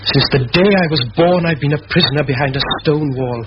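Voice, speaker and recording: An actor playing an ardent young Welsh scholar in a 1940s radio drama, with a Welsh accent and an articulate, fervent delivery. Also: male voice, a little high, fast talker, natural voice. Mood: fervent, defiant. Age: young adult. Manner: fervent, articulate, pouring out words. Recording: dull, noisy vintage radio recording.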